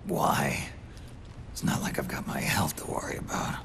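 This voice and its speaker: A man playing a gruff, weary old soldier in a video game, with a growling, gravelly voice and terse deadpan delivery. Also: very deep voice, normal speed, in a whisper. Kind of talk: video game cutscene acting. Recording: loud music behind the voice.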